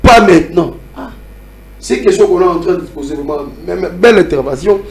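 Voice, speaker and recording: High, animated Congolese man. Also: fairly quick, a little hiss or hum. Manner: animated, mixes French with Lingala, teasing. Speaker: debate participant. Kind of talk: lively debate talk.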